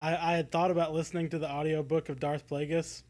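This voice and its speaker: Higher-pitched man chatting casually on a podcast. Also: flat delivery, fast, darkish tone. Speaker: podcast co-host. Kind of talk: casual review chat.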